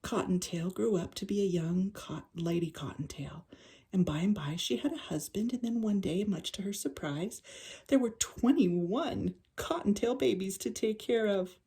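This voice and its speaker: Husky-voiced older woman reading a storybook. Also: medium pitch, moderate pace, mellow. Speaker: grandmother reading a children's story. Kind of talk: storybook read-aloud for children.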